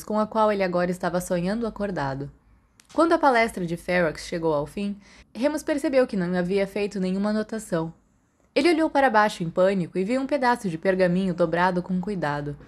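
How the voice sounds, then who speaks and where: A woman with a Brazilian accent reading a scripted story as an audiobook narrator. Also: mid-range voice.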